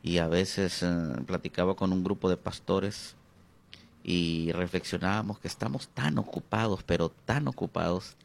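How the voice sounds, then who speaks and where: A middle-aged man with a Honduran accent, a pastor speaking as an interview guest, hesitant and reflective with many drawn-out fillers. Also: sits low, gravelly.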